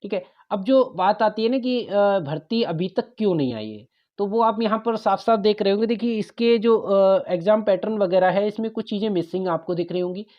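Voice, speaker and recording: A woman's voice: mid-range voice, normal speed. A woman giving an informal, instructive explainer, taking the listener through things step by step. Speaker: presenter of exam and job information videos. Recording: dull lo-fi voice recording.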